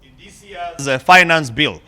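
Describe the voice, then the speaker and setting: A man giving a formal keynote address in a large hall, speaking in an emphatic, measured way with frequent pauses. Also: on the high side, expressive, bright, forceful tone.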